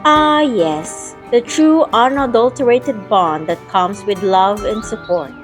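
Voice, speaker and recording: A woman's voice: mid-range voice, lively intonation, slow pace. A young woman playing a spirited, teasing childhood friend in an audio drama, telling the story in her own words and acting out playful banter. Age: young adult. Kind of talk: audio drama narration and dialogue. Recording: music playing behind the voice.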